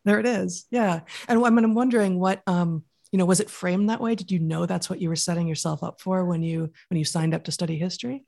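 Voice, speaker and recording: Podcast guest, mid pitch, gravelly creak, thoughtful, lecturing explanations. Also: steady tone, brisk, few pauses, darkish tone. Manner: thoughtful, lecturing, builds ideas step by step. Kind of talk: explanatory interview answers.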